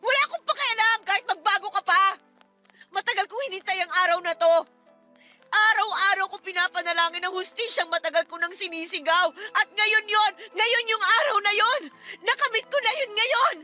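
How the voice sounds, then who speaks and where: An actress playing a furious, unforgiving young stepdaughter with a Filipino accent, emphatic and scornful in a radio drama. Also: female voice, extremely high pitch, normal speed, sharp tone. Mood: defiant, vindicated. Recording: music under the voice.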